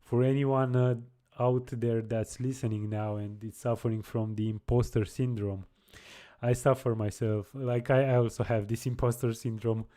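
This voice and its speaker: A young man chatting casually on a live coding stream, halting and restarting his sentences as he answers viewers. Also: medium pitch, little pitch movement, warm tone. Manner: halting, many restarts and repeated words.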